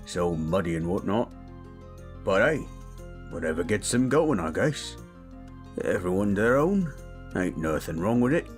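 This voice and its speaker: Low, slow, friendly orc played by a man. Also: big pitch swings, faint music behind. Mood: chatty, musing. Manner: folksy, rambling, rustic ungrammatical talk. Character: big friendly male orc, gentle, chatty, comforting. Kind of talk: soothing character roleplay audio addressed to listener.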